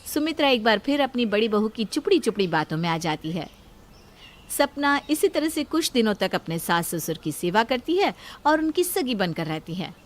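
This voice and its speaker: A woman's voice: fairly high pitch, sing-song, moderate pace. A woman narrating a scripted moral story as a storyteller.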